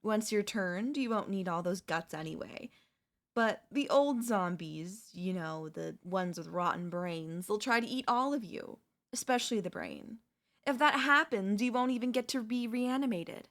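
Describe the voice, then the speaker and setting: A woman's voice: medium pitch, measured pace, fairly bright tone. A woman voicing a chatty female zombie who cheerfully and conversationally coaxes in a comedic audio roleplay. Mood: placating, coaxing. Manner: conversational, coaxing, wheedling. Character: chatty, cheerfully persuasive female zombie craving human flesh.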